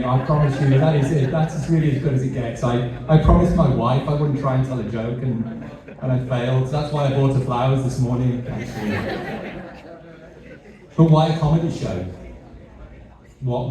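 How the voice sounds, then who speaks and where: British man speaking in an echoey hall. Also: medium pitch. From Britain. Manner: halting, repeats words, self-deprecating. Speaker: charity CEO giving a speech. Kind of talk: fundraiser speech with light humour. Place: large event hall. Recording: echoey hall, mic heard from a distance.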